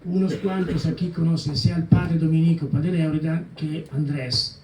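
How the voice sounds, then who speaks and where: A man in his sixties speaking with an Italian accent, presenting a book he co-wrote, halting, full of fillers and restarts, slipping into Italian words. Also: on the high side, steady tone, a little mellow, slight background noise. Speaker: co-author guest. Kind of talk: public book presentation talk. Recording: echoey hall, mic at a distance.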